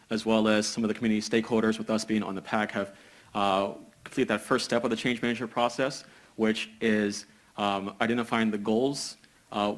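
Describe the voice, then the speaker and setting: A young man from a business school speaking on a panel, measured, with many "uh" hesitations. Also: on the low side, fairly bright tone. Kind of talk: panel discussion.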